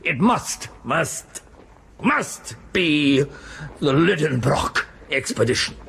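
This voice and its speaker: Excitable, pompous German professor, swooping pitch. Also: male voice, drawn-out words, creaky, breathy edge, heavily acted. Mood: grudging, insistent. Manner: pompous, exclamatory, sputtering. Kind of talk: 1990s radio comedy-adventure acting. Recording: noisy radio broadcast with ambient effects.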